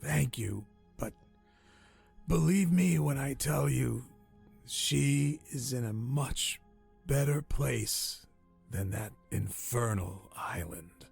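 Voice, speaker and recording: An actor playing a dying old castaway in a radio drama, weak and haunted, telling his story in halting, solemn, old-fashioned phrases. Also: mid-range voice, animated, a little mellow, soft background music, heavily acted. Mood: grieving, resigned. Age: older. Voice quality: weak, raspy, breathy.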